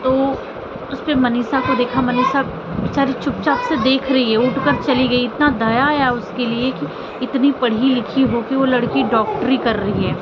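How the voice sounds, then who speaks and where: A woman's voice: on the high side, fairly even intonation, moderate pace. A woman vlogger giving casual commentary on family drama, rambling and repeating phrases for emphasis. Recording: muffled audio with loud outdoor traffic noise.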